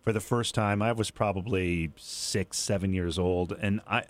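A man's voice: low-pitched, steady tone, unhurried. A middle-aged man hosting a casual radio interview show with call-ins. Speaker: radio host.

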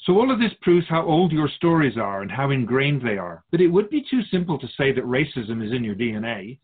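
An actor playing an otherworldly keeper of souls in a radio drama, patiently teaching humans in a measured, all-knowing way. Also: male voice, medium pitch, big pitch swings. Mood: earnest, instructive. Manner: didactic, measured explaining, speaks of humans as outsider. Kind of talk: scripted radio drama dialogue. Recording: dull phone-like narrow sound.

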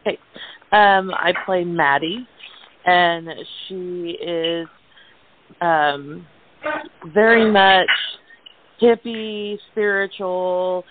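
Slow-talking woman on a noisy phone line. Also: fairly low pitch. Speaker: guest, play cast member. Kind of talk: casual interview chat.

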